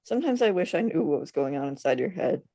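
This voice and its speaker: A woman's voice: sits low, sing-song, fast talker, gravelly, mellow, heavily acted. A woman playing a teasing, pressing teenage friend in a script table read. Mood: curious, wry. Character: teasing, needling teenage friend of a girl leaving town.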